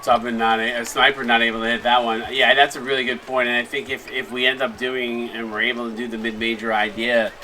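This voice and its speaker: A man's voice: mid-range voice, flat delivery, brisk, few pauses, bright, forceful tone, slight background noise. A tired man from New York doing easygoing, chatty commentary on a simulated basketball game, calling plays between banter. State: tired from little sleep. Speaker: play-by-play commentator.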